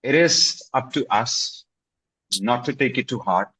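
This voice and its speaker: A husky man talks in a relaxed, conversational way as an English tutor. He speaks encouragingly and keeps checking in with his listener. The sound is dry and close. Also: medium pitch, measured pace, no room sound, low-quality recording. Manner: encouraging, repeats himself for emphasis with "okay?" and "right?". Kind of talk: casual video-call conversation.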